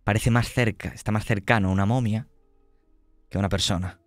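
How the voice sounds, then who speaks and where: A man narrating a tabletop horror game as game master, slow and dramatic as he describes scenes. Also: lowish voice, fairly even intonation, no echo, faint music behind.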